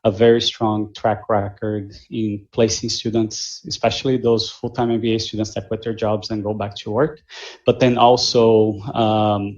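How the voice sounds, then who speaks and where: A man from Brazil with a Brazilian Portuguese accent, an admissions director answering questions at a measured pace with many fillers over a video call. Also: on the low side, darkish tone. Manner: measured, with frequent fillers and restarts. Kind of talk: answering questions in a virtual info session. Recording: muffled lo-fi video call audio.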